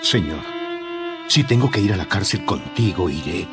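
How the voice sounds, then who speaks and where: A man's voice: low-pitched, animated, moderate pace. An actor playing a devoted male disciple in an audio Bible drama, speaking earnestly and with deference. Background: music.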